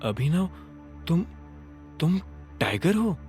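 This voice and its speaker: Guy doing a strict haughty young lady, curt n deliberate, audio drama w music behind. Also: on the high side, sing-song, darkish tone. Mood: stunned. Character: cold, strict, brave young woman, haughty.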